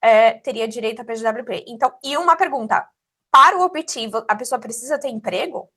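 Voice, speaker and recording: A woman's voice: on the high side, lively intonation, fast talker, pushed and bright. A woman in her thirties hosting a casual interview, often giving short acknowledgments while asking questions. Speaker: host, immigration consultant.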